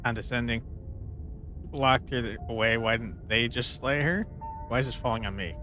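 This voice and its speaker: A man streams a game in a casual voice of middling pitch. He comments and reads choices aloud, with faint music from the game behind him. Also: fairly quick, bright, forceful tone.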